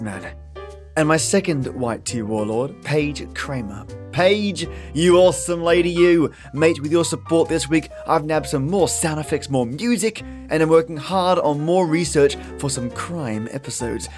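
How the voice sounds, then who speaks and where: A man with an Australian accent hosting a podcast, chatty and scripted, with an effusive, chipper manner. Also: fairly high pitch. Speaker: podcast host. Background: guitar music.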